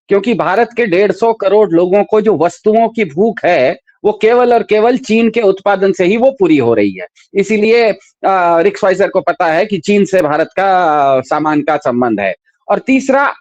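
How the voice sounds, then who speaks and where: Higher-voiced man giving political commentary. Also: darkish tone, no room sound, lo-fi sound. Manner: explanatory, repeats points for emphasis.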